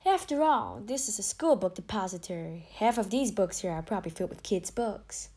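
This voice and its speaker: A woman's voice: mid-range voice, lively intonation, fairly quick, a little mellow. A woman playing a chatty, confident society reporter in an audio play, with a Southern American accent. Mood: breezy.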